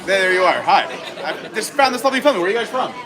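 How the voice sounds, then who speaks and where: Casting: American male vlog host, fairly high voice, casual chat, busy indoor resort space packed with loud chatter. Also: big pitch swings, racing. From Long Island, New York, USA. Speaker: live-stream host. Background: loud crowd chatter.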